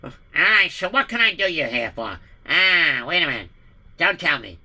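A cackling, boastful schemer, put on by a male storyteller. His voice is bright and pushed higher and louder, sneering at those he outwits. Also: big pitch swings, normal speed, heavily acted. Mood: gloating. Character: scheming gloating male villain, middle-aged.

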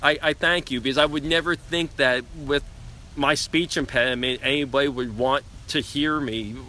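A man's voice: mid-range voice, steady tone, moderate pace, pushed and bright, a little hiss or hum. A man from Long Island with a speech impediment, speaking earnestly as a podcast guest outdoors, often repeating words. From Long Island, New York. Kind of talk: casual heartfelt conversation. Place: outdoors in the cold.

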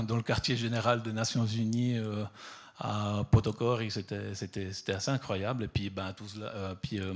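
A middle-aged man from southern Italy, talking about his photography with frequent fillers and repeated words. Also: fairly low pitch. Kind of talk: hesitant conversational talk at an exhibition.

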